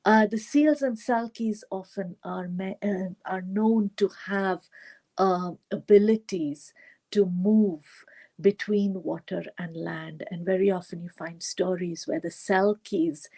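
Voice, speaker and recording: A woman storyteller with an Indian accent speaks very slowly at a medium pitch. She introduces her story to an online audience in measured phrases, leaving long pauses between them. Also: a little mellow. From western India.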